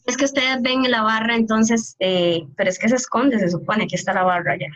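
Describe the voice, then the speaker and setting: A woman's voice: fairly high pitch, fairly even intonation, a little fast, a bit bright, dull audio. A woman math teacher giving an online calculus class, explaining step by step and often checking that her students follow.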